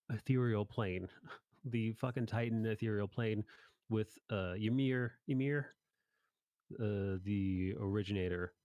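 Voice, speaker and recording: A male podcast host with a fairly low voice, chatting casually about anime. He rambles informally through recaps and swears freely. The recording is dry, with no room sound. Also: darkish tone, dry recording.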